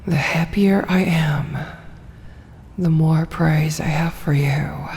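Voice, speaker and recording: Woman acting a slow, whispering, seductive vampire woman. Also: low voice, darkish tone, exaggerated voice. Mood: possessive, doting. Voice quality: breathy, whispered. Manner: slow, coaxing, commanding yet doting. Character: possessive, seductive vampire woman mesmerizing her prey. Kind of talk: ASMR roleplay, hypnotic whispering. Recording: long hall reverb, faint hiss and ambience.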